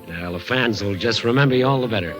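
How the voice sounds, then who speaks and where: An actor playing a folksy sports reporter who narrates the story in a 1940s radio drama. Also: male voice, on the low side, fast talker, over music, natural voice. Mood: chatty.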